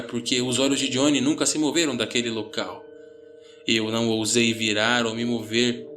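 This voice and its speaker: A man with a Brazilian Portuguese accent reading a scripted horror story in a measured, suspenseful way. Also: medium pitch, a bit bright. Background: faint background music.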